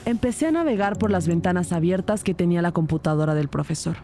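A woman's voice: fairly low pitch, quick pace, close to an everyday voice. A woman playing a composed police detective who narrates her investigation in a scripted crime audio drama. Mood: composed, narrating. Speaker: narrator and lead character. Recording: loud music behind the voice. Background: music.

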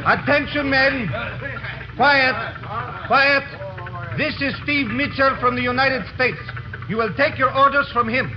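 A man's voice: very high-pitched, a little slow. A man playing a stern police officer formally calling his men to order in an old-time radio drama. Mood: stern, commanding. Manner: barking, formal, commanding. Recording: dull old radio recording.

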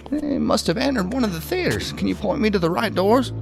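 Creaky, high-voiced theater staffer. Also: male voice, sing-song, fairly quick, over music, heavily acted. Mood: brisk, searching. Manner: casual, muttering, questioning. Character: brisk, inquisitive male theater staffer investigating intruders.

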